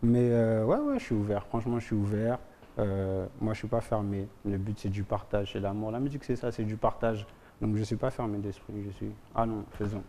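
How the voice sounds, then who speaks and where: Man, mellow voice, hesitant, laid-back. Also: fairly low pitch, a little fast, a little hiss or hum. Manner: relaxed, hesitant, searches for words. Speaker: music artist. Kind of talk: casual interview answers.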